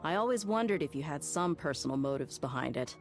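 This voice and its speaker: A woman's voice: low voice, animated, fairly quick. A woman playing a tough, outspoken, skeptical woman in a video game, blunt and challenging. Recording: game music under the voice.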